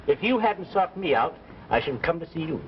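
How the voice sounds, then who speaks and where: A man's voice: a little high, big pitch swings, normal speed. Loyal, careful male editor: swooping, emphatic delivery, vintage sci-fi drama, muffled recording. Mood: warm, frank. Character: loyal, cautious male magazine editor, old friend. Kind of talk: 1950s science fiction anthology drama acting. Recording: dull, noisy 1950s broadcast recording.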